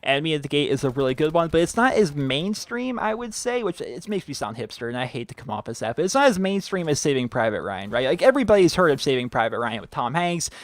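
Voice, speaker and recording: Young man, fast-talking, slightly high voice, dry close mic, hoarse, rambling with fillers. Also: big pitch swings, no room sound. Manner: rambling, filler-heavy, "like" after every few words. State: losing his voice. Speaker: YouTube gaming channel host. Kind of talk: casual chat answering viewer questions.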